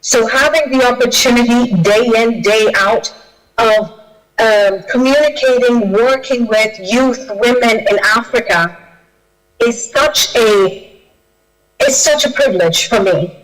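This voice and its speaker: A woman's voice: on the high side, slow, poor audio. A woman from Kenya, a guest speaker on a video call, answering audience questions with many fillers and restarts. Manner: hesitant, with frequent fillers and restarts.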